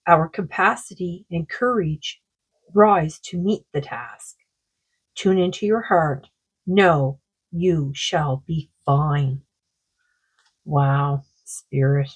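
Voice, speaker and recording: A woman with a sore throat giving a rambling, casual oracle card reading, full of fillers and slow read-aloud passages. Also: on the low side, slightly rough, low-quality recording. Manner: rambling, many fillers, reads card texts aloud slowly. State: sore throat, losing her voice.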